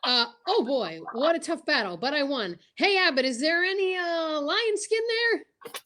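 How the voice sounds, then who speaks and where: A woman acts out a bumbling, boastful funnyman from a 1940s radio comedy. The voice is high and takes its time, stammering and hamming up the lines. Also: very animated, measured pace, fairly bright tone, full character voice. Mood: boastful, goofy.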